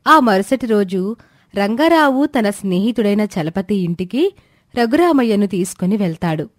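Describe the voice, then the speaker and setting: A woman's voice: mid-range voice, expressive, normal speed, no room sound, studio quality. A woman reading a scripted moral tale as the storyteller.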